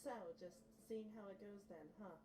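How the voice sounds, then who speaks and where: A woman's voice: medium pitch, fairly even intonation, normal speed, background noise, lightly acted. A woman playing a frank, teasing teenage best friend with a dry, probing manner in an audio drama.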